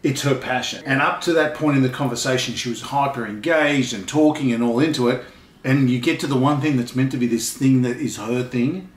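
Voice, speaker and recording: Middle-aged male guest on a book vlog, emphatic theorizer, medium pitch, roomy echo. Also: a little fast. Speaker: vlogger's dad, guest co-reviewer. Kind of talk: casual book discussion chat. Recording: echoey untreated room.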